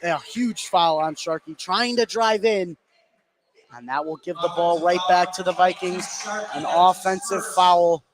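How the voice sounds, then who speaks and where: A man doing excitable live play-by-play of high school basketball in short running phrases. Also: a little slow, a crowd in the background. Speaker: play-by-play sports commentator.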